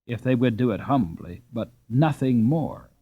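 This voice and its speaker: A man reading a classic children's novel aloud in an expressive, lilting storybook manner. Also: medium pitch, measured pace, husky. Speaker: audiobook narrator. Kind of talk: scripted storybook narration. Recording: dull lo-fi recording.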